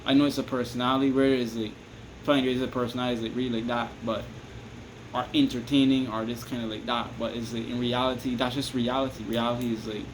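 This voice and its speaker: A man's voice: medium pitch, level tone, fast talker, background noise. A Barbadian man rambling through casual advice to his viewers, restarting sentences and asking "you understand me?" again and again. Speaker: vlogger giving advice. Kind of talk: casual advice monologue to camera.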